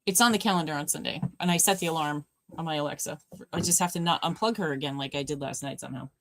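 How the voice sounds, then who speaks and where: Deep-voiced woman chatting with her stream. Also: quick pace, dry recording. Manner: chatty, warm replies to chat, addresses viewers by name. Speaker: livestream host. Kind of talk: casual chat reading viewer comments.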